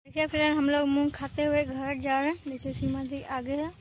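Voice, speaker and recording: A woman's voice: high-pitched, flat delivery, fairly quick, noisy background. A young woman vlogging on a phone outdoors in village fields at dusk, chatting to her viewers as friends. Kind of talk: casual walking vlog. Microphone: phone camera held in hand.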